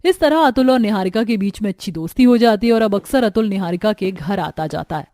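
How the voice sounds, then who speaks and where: A woman storyteller reading scripted narration for an animated tale. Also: medium pitch, fairly quick, dry recording, clean studio sound.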